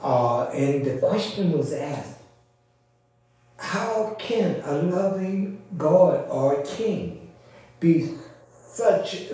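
A man gives an informal Sunday sermon in a halting, conversational style. His voice is mellow and slow. He is recorded from a distance in a strongly echoing space. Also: a little high. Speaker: preacher. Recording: very echoey room, distant microphone.

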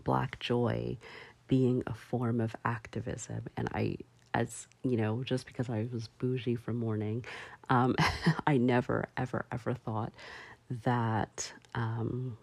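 A woman hosting a solo talk show, speaking slowly and haltingly with many fillers. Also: a bass voice, level tone, gravelly, a little mellow, no echo. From parents from Barbados. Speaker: podcast host.

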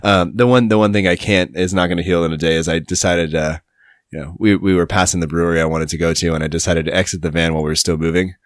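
Deep-voiced man hosting a podcast. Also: quick pace, no room sound, clean studio sound. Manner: relaxed, rambling, many fillers. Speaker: podcast host. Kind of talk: casual podcast interview and intro.

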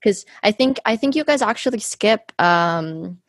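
A woman's voice: mid-range voice, lively intonation, unhurried, no echo, clean studio sound. A woman in her twenties from the United States, hosting a casual podcast chat, talking loosely with many ums and likes. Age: 20s. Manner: chatty, many ums and likes, thinking aloud. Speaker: podcast host.

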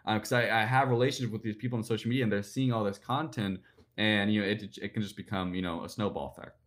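A man's voice: on the low side, fairly even intonation, a bit bright. A young man in his twenties answering interview questions about personal branding, rambling with lots of "like" and "you know". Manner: fast, rambling, filler-heavy with "like" and "you know". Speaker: guest, personal branding speaker.

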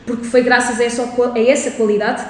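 Fast-talking woman podcast host. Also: fairly high pitch, flat delivery. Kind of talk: podcast interview. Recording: echoey room.

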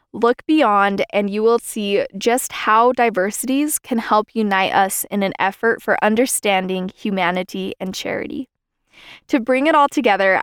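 Earnest young woman, smooth dry voice. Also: medium pitch, no room sound. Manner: earnest, measured delivery. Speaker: podcast host. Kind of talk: scripted devotional podcast talk.

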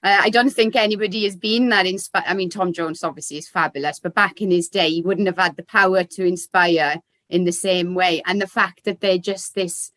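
A woman's voice: lowish voice, fairly even intonation, brisk, dry recording. A woman with a Welsh Valleys lilt talks over old memories in easy, chatty conversation, laughing now and then. The sound is close and dry, with no room around her. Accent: South Wales Valleys. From Wales. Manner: warm reminiscing, laughs easily. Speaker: guest. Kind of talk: casual chat.

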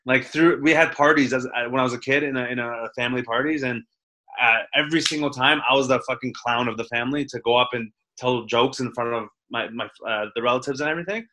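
A man's voice: medium pitch, fast, bright, forceful tone, poor audio. A young man, a stand-up comedian from the Toronto area, chatting in an interview, rambling with restarts and casual swearing. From Toronto area, Canada.

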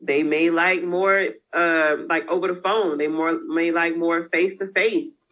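A woman's voice: lowish voice, fairly even intonation, a little slow, fairly bright tone. A woman entrepreneur talking casually as an interview guest, speaking frankly with slang and the odd curse. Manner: candid, frank, uses slang and mild cursing.

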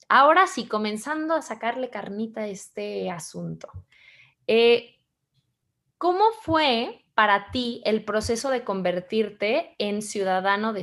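A woman's voice: mid-range voice, a bit bright. A woman co-hosting a podcast, reading a guest's introduction and then asking him questions in an upbeat, chatty way over a video call. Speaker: podcast co-host.

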